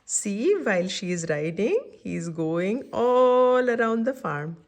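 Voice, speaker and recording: A woman's voice: medium pitch, very animated, slow. A woman reading a picture book aloud to young children, adding simple chatty explanations and checking in with her listeners. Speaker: children's story-time reader and host.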